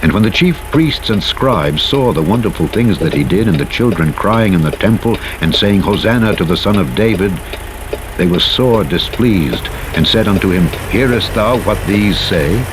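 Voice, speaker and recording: Clear vehicle engine noise runs behind a man with a fairly low voice. He reads scripture as a narrator, in a solemn and measured way, with archaic, formal phrasing. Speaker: Bible narrator. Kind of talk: scripture reading. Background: truck engine noise from a driving game.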